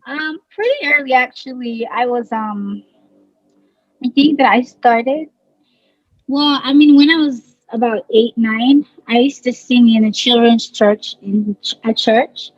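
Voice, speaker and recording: A woman's voice: fairly high pitch, measured pace, no room sound. Nigerian woman, dull dry remote line. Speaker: singer, interview guest. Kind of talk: casual interview talk.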